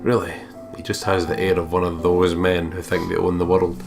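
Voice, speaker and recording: A man's voice: low voice, completely flat delivery, normal speed, gravelly. A young man with a Scottish accent reading game text aloud and adding exuberant, sweary, joking commentary. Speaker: let's play co-host. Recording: music behind the voice.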